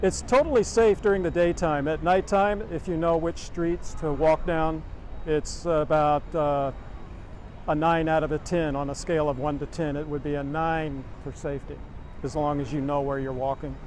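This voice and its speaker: A man's voice: on the high side, fairly even intonation, moderate pace, a little mellow, slight background noise. A man from San Francisco answering a street interview outdoors, rambling and hesitant. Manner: rambling, hesitant, many fillers. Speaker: passer-by interviewee.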